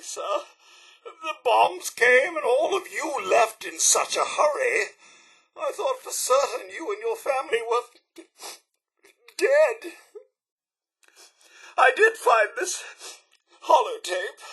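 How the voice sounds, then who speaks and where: A man's voice: high-pitched, vocal fry, bright, forceful tone, husky, exaggerated voice. A man voicing a fussy, devoted robot butler in a video game, speaking with a British accent in a prim, formal, theatrical way. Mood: flustered, sad.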